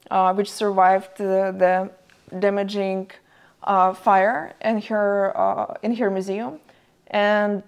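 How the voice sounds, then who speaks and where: Young woman giving a lecture, slow and halting, lots of uh. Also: mid-range voice, a little mellow. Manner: halting non-native English with many fillers. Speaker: guest lecturer. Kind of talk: university lecture. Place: lecture room.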